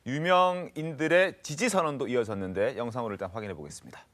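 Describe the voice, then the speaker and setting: A man's voice: mid-range voice, fast, fairly bright tone. A man presenting a news talk show as its host, in a conversational broadcast style. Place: TV studio.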